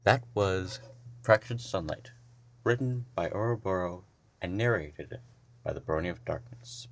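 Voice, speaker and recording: A man with a lowish voice giving relaxed commentary after a story reading. He rambles, stumbles over words and restarts his sentences. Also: unhurried, creaky. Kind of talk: casual commentary.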